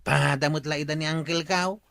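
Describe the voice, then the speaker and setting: Bright, highish-voiced idle village man, acted out. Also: fairly even intonation, fairly quick, vocal fry, no room sound, studio quality. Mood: dismissive. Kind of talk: Ilocano radio comedy drama acting.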